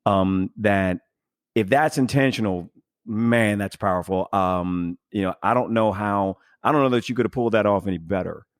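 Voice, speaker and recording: A man with a deep voice talks in a very dry acoustic as a podcast host. He feels his way through each thought in a casual, reflective style, earnest and emphatic, often stopping to find the next word. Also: smooth, no echo. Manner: halting, searching for words, earnest.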